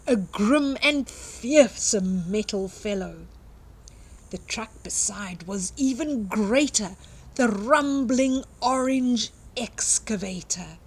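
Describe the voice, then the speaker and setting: A woman's voice: mid-range voice, a little mellow, husky. An older woman reading a picture book aloud expressively to young children, with simple explanatory asides. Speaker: children's storytime reader and host.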